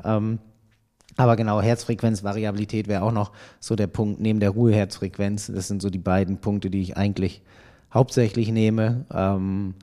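Brief: young adult man, podcast guest, dark mellow tone, laid-back and hesitant. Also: fairly low pitch, normal speed. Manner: relaxed, many fillers, thinks aloud. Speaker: podcast guest, triathlon editor. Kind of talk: casual podcast conversation.

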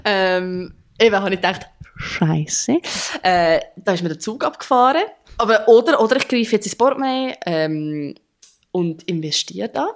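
A woman's voice: medium pitch, lively intonation, moderate pace. Chatty young Swiss woman, laughing. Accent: Swiss German. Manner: chatty, laughs often, restarts sentences. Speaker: interview guest, drummer. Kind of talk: casual conversational interview.

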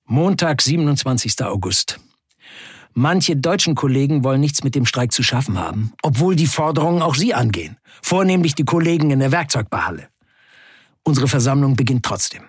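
A man playing a defiant factory worker in an audio drama, narrating in the first person with emphatic, measured delivery and a husky voice. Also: a little high, no echo, clean studio sound. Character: defiant male Turkish factory worker recounting a wildcat strike.

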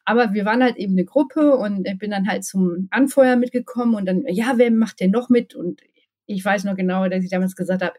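A woman's voice: medium pitch, darkish tone. A woman from the area, chatting as an interview guest. She laughs often and fills gaps with many hesitations. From Ruhr area, Germany. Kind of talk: casual storytelling interview.

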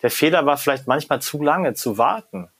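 A middle-aged German man, a professor, answering interview questions in a reflective, animated way and often ending phrases with "ja?" or "ne?". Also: on the high side, a little fast, breathy edge. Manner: reflective, animated, frequent "ja?" and "ne?" tags. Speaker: guest, professor of creativity and design.

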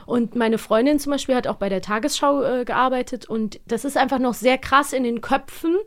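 A young adult woman hosting a podcast in casual chat, lively and quick to laugh. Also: a little high. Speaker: podcast host. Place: Berlin.

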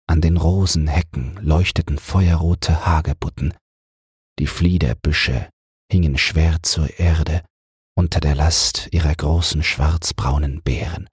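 A man narrating a fairy tale as a soothing bedtime audiobook, in a calm and measured way. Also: rumbling low, slightly rough, no room sound, clean studio sound.

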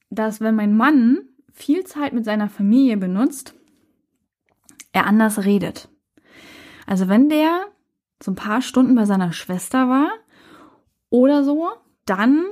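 A woman's voice: fairly high pitch, darkish tone. A woman from Saxony-Anhalt hosting her own podcast, talking alone in an earnest, thoughtful way with frequent hesitations. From Saxony-Anhalt, Germany. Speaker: podcast host. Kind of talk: reflective solo podcast monologue.

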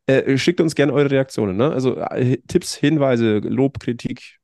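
A man hosting a relaxed podcast chat, his voice slightly hoarse from a cold. He talks in a chatty, upbeat way, full of small fillers. The sound is dry and close, with no room around him. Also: medium pitch, measured pace, dry recording. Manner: chatty, upbeat sign-offs with filler words. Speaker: podcast host.

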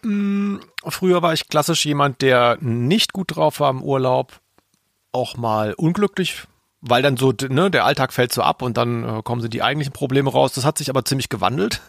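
A man's voice: mid-range voice, moderate pace, gravelly, no room sound, studio quality. A man co-hosting a casual podcast, talking reflectively and searching for his words with repetitions. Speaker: podcast co-host. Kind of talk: casual conversational podcast analysis.

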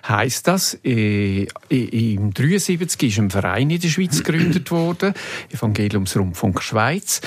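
A man with a Swiss German accent hosting a podcast interview in a measured, explanatory way. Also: medium pitch, breathy edge, no echo.

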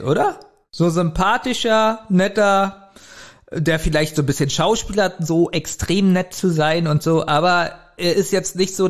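A man with a fairly high voice talks as a podcast co-host. His delivery is casual and conversational, like a review among friends, with frequent chuckles. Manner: chuckles often while talking. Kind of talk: casual chat reviewing an audio drama.

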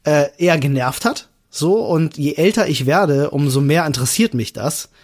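Higher-voiced man chatting enthusiastically. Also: moderate pace. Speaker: podcast co-host. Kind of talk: casual podcast chat.

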